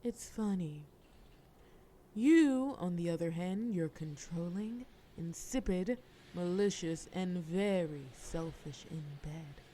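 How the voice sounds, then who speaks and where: Woman playing a wry mafia boss, lowish dark mellow voice, dry and defiant, audio drama, background noise. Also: animated, takes it slowly. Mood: sardonic, flirtatious. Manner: dry, sardonic, dismissive. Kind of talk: scripted audio roleplay acting.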